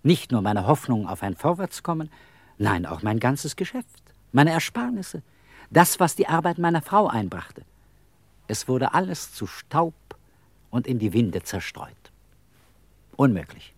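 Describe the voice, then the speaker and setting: A man's voice: mid-range voice, big pitch swings, measured pace, long pauses, no room sound. An actor in a radio play voicing an eccentric middle-aged beggar with bourgeois airs, telling his story in a wry, theatrical, rambling way. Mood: dramatic lament.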